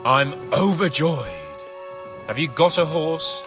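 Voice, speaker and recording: Haughty, high-voiced young lordling. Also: male voice, slow pace, music in the background. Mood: haughty sarcasm. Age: young adult. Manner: sneering, pompous, theatrical. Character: spoiled, haughty young nobleman, mocking and hot-tempered. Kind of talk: video game cutscene acting.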